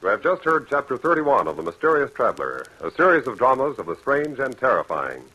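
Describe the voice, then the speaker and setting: A male announcer in 1940s radio style. His delivery is formal and animated, with a forceful, slightly husky voice. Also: mid-range voice, a little fast, soft background music, poor audio. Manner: formal, declamatory.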